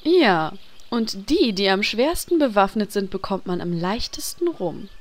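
A woman's voice: mid-range voice, big pitch swings, measured pace. A woman playing the cheerful, bossy leader of a band of heroes in a parody audio drama, talking persuasively. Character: chirpy, bossy female leader of a hero troupe.

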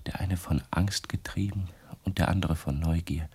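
An ominous figure in a radio drama. His very deep, dark voice is husky and heavy with warning. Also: normal speed. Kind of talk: radio play acting.

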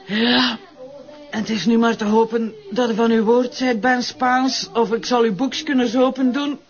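An actress playing a bitter, hard-drinking café keeper, taunting and boozy, with a Flemish accent in radio drama style. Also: female voice, fairly high pitch, steady tone, moderate pace, close to an everyday voice. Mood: boozy, gloating. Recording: dull lo-fi recording. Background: music.

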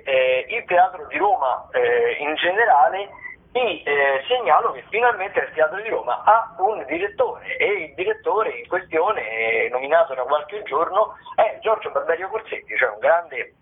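A fairly high-voiced man gives theatre listings over a narrow telephone line. He talks in a conversational, playful way, wandering through his phrases and pausing to find words. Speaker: theatre critic giving weekly listings. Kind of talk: radio commentary, conversational listings. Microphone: phone call.

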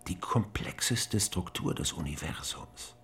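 A composed, visionary doctor in a radio drama, coaxing a patient with solemn persuasion. His low voice is creaky and moves in wide, expressive swings. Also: a little slow, in a whisper, heavily acted. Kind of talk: German radio drama acting.

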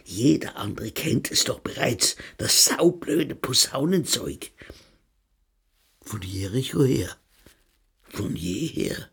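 A man's voice: mid-range voice, sing-song, slow pace, creaky, pauses often, fairly bright tone, slightly rough, full character voice. An actor playing a paranoid, rambling rustic man with a Bavarian accent, muttering a dialect monologue in a radio play. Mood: conspiratorial.

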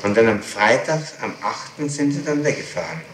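A man questioning someone in a police interrogation, methodical and insistent, speaking haltingly with pauses. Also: medium pitch, animated. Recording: echoey, noisy 1960s archive tape.

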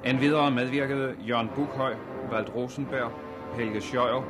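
Male radio announcer reading credits, formal crisp, loud music behind. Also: medium pitch, fairly even intonation.

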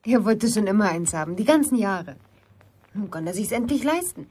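A young woman playing a pert, impulsive city girl in a German radio drama, frank and questioning, with a husky voice. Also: medium pitch, animated, moderate pace, darkish tone. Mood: chatty.

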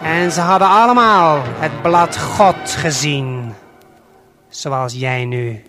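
A man narrating an audio play in measured short phrases, speaking straight to the listener with deliberate pauses. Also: fairly high pitch, pushed and bright. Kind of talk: slow scripted storytelling addressed to the listener. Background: prominent music.

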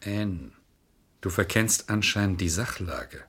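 Ernster, beharrlicher Anwalt mit tiefer, belegter Stimme. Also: male voice, low voice, expressive, slow, a bit bright, slightly rough, heavily acted. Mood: impatient, lecturing. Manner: earnest, precise, argumentative. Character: stern, insistent male lawyer, brooding over a case. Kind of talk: 1970s German radio crime drama acting.

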